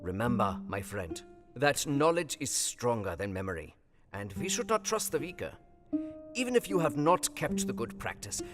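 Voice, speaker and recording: A man acting an animated, wise old professor. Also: medium pitch, close to an everyday voice. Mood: grave, earnest. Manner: halting, emphatic, foreign-phrased counsel. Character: wise older Dutch professor, grave, kindly, eccentric mentor. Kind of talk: audio drama acting. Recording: long reverb, music behind. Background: guitar music.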